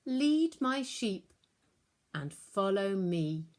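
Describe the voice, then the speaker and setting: Storybook part: kindly, encouraging male teacher played by a female reader, slow, deliberate, gentle instruction. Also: mid-range voice, sing-song. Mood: gentle, solemn.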